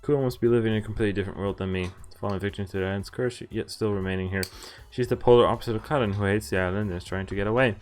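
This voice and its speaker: A man's voice: fairly low pitch, steady tone, racing, hardly any pauses. A man streaming a visual novel, casually reading game text aloud and adding informal, jokey commentary. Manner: informal, jokey asides between read lines.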